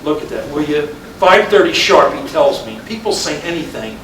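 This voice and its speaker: A man's voice: a little high, sing-song, normal speed, fairly bright tone. A man acting a weary, wry middle-aged policeman husband in a staged reading of a play. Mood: irritated. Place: indoor live reading at a college. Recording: echoey room from far off, noisy.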